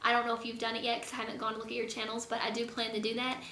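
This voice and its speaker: A young woman with a hoarse, fading voice, talking earnestly and rambling a little in a casual faith vlog. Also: a little high, monotone, rapid-fire, bright, forceful tone. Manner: earnest, rambling, lots of fillers. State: hoarse, losing her voice. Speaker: vlogger. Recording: echoey room, faint noise.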